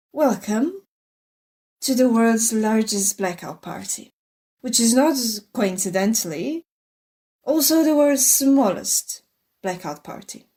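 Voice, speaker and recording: An actress playing a bored, crude, lecherous lone broadcaster in an audio drama monologue, sardonic and theatrical. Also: female voice, fairly high pitch, animated, slow pace, pauses often, mellow. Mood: sardonic, lewd.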